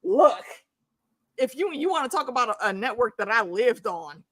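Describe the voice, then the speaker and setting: A woman in her early forties talking casually as a livestream host, animated and slangy, swearing freely and wandering off on tangents. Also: on the high side, moderate pace. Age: early 40s. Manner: animated, slangy, profane, digressive. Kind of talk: casual, unscripted fan discussion chat.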